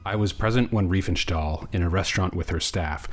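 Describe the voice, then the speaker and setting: Male reader with a very low voice, measured delivery, quote reading.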